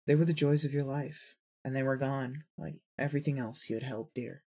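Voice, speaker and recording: A narrator reading scripted second-person fiction in an earnest, emotive storytelling manner, with a husky voice. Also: mid-range voice, steady tone, fairly quick, warm tone.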